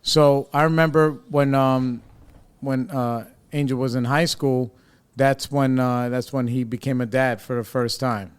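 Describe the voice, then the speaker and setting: A man's voice: mid-range voice, steady tone, a little slow, clear, resonant tone. A man hosting a podcast, chatting casually and laughing easily with his guests. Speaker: podcast host. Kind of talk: casual interview chat.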